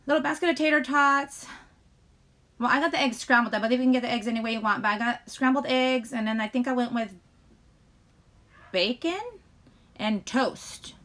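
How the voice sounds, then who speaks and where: Woman livestreamer w a pretty high, really bright voice, rambly casual chat, keeps trailing off n restarting. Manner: halting, wandering, often breaks off mid-sentence. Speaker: live stream host chatting with viewers.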